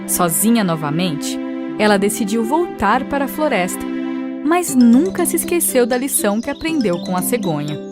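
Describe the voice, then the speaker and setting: A woman's voice: a little high, sing-song, normal speed. A woman reading scripted children's fables as a storyteller narrator. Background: music.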